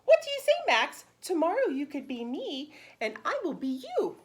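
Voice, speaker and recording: A woman voicing an eager young boy who plays at being a dog, in an excitable, emphatic storybook read-aloud. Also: high-pitched, normal speed, pitched above the performer's own voice.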